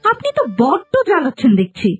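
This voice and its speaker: A woman playing a cruel, bossy young daughter-in-law in a cartoon folk tale, scolding with sharp sarcasm. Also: high-pitched, sing-song, fast, faint music behind.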